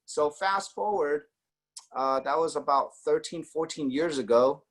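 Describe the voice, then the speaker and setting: A man's voice: fairly high pitch, a little slow. A man in his forties from Austria, telling his personal story in a casual way, with many fillers and acted quotes. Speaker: network marketing guest.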